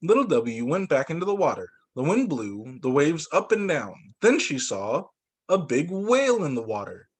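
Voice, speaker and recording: A man working as a teacher, reading children's storybooks aloud in an expressive way. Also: fairly high pitch, moderate pace, husky. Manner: expressive, acts out dialogue for young children.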